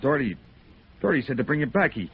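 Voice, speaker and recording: A man's voice: medium pitch, sing-song, fast, husky, full character voice. An actor playing a thuggish but easily rattled small-town male sheriff's deputy in a 1950s radio crime drama. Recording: muffled vintage radio, very noisy.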